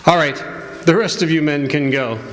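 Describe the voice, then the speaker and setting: An actor playing a brisk, courteous newspaper reporter in a 1940s-style radio drama. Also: male voice, a little high, close to an everyday voice. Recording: noisy live stage, long hall reverb.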